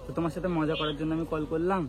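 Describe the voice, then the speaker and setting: A man's voice: fairly high pitch, level tone, rapid-fire. A man talks casually but seriously, pressing his points with repeated rhetorical questions. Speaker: prank vlogger. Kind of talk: staged serious phone conversation, casual. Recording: loud background music. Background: music.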